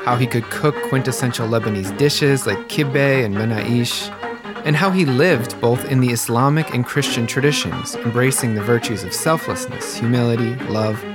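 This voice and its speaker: A man hosting a history podcast, reading a scripted narration in a measured, even manner. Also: mid-range voice, over music. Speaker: podcast host.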